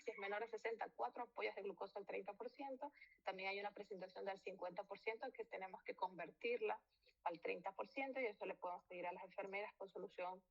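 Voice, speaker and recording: A woman's voice: medium pitch, gravelly, muffled recording. A woman doctor from Venezuela giving a medical lecture in a loose, informal way, with fillers and self-corrections. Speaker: physician lecturer.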